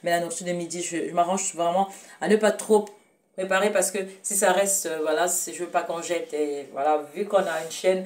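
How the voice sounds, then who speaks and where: A woman's voice: fairly low pitch, fairly even intonation, a little fast, a little mellow, far from the mic. A woman from Cameroon with a Cameroonian French accent, hosting a casual home cooking demonstration in her kitchen, talking hesitantly with many fillers and self-corrections and addressing viewers fondly. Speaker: cooking show host.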